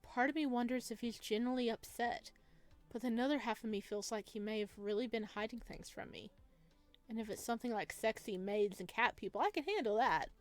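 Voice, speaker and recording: A woman reading a visual novel aloud as expressive first-person narration, with a playful, teasing manner. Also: a little high, fairly quick, soft background music.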